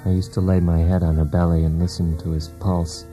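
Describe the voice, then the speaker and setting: An actor performs a wounded husband in a poetic radio play. His voice is very low and mellow, and he weighs his words with theatrical intensity. Music plays behind him as he broods. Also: level tone, close to an everyday voice. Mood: wistful, hurt. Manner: deliberate, intense, theatrical delivery.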